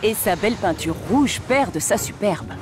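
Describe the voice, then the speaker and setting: A woman's voice: medium pitch, expressive, moderate pace, lightly acted. A woman voicing the storyteller of a children's train cartoon in scripted narration. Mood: storytelling. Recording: music behind the voice.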